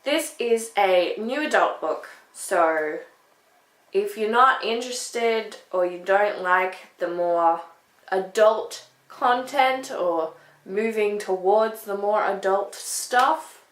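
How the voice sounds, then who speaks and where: A woman's voice: mid-range voice, fairly bright tone. A woman book vlogger chatting casually to camera, emphatic, often repeating words to make her point. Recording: echoey room.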